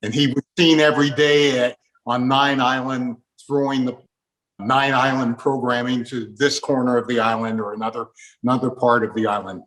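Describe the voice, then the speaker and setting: A man's voice: mid-range voice, little pitch movement, normal speed, fairly bright tone, rough recording. A man hosting an interview, posing questions and offering recollections in a conversational way.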